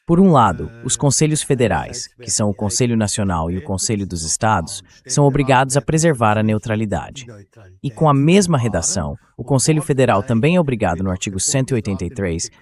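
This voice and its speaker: A man speaks as an interview guest, explaining political matters in a measured way, like a dubbed translation. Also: on the low side, animated, darkish tone.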